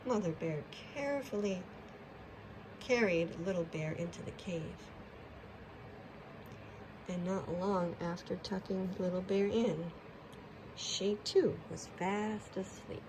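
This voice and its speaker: A woman narrates a children's storybook with expression. Her pace is very slow and her pitch a little low. The recording carries clear background noise.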